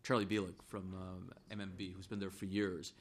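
A hoarse middle-aged man, a state senator who once chaired the finance committee, speaking deliberately in a legislative floor debate. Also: deep voice, flat delivery. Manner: deliberate, supplementing a colleague's point.

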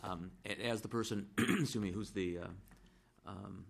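A hoarse middle-aged man, a state senator who once chaired the finance committee, speaking deliberately in a legislative floor debate. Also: lowish voice, fairly even intonation, background noise. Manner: deliberate, supplementing a colleague's point.

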